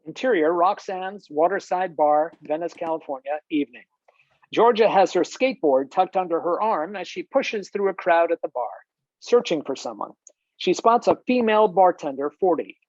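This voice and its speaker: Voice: medium pitch, lively intonation, moderate pace, darkish tone, dry recording. Screenplay narrator, scripted delivery, close dry sound with slightly muffled call quality. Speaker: stage-direction reader. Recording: dull video-call audio.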